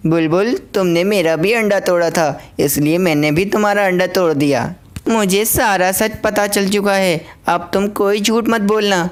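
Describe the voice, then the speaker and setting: Woman as indignant mama crow in a kids cartoon, clear voice, firm and quick to blame. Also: medium pitch, natural voice. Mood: stern. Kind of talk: children's cartoon voice acting in Hindi.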